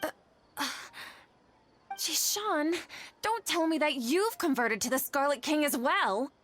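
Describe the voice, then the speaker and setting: A young woman scholar in an anime game. The voice is fairly high, earnest and anxious, quick to get flustered. Soft music sits behind it. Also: lively intonation, heavily acted. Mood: shocked, flustered. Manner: earnest, flustered, stammering under pressure. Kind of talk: anime video game voice acting.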